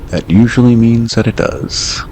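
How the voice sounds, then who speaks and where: A man playing a gravelly late-night radio host. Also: mid-range voice, measured pace, slight background noise, lightly acted. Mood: calm. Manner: calm, probing short questions.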